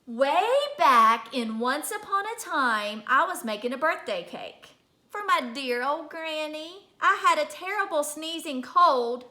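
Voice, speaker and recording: Woman as bright, animated, self-justifying storybook wolf. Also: high voice, unhurried, pitched above the performer's own voice, heavily acted. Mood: mock-innocent. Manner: folksy, dramatic, mock-innocent. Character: chatty, self-justifying big bad wolf telling his story. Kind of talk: children's picture-book read-aloud. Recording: echoey room.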